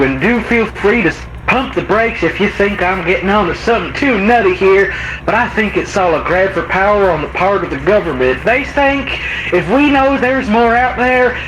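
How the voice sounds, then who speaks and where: A man voicing a folksy, suspicious rural trucker with drawling homespun speech in a trucking game, over radio. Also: sits high. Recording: loud vehicle noise on radio.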